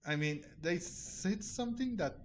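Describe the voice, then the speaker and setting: A man's voice: sits high, expressive, unhurried, a little hiss or hum. A man giving casual let's-play commentary, answering the game's dialogue in a hesitant, rambling way. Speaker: gaming video creator. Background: game music.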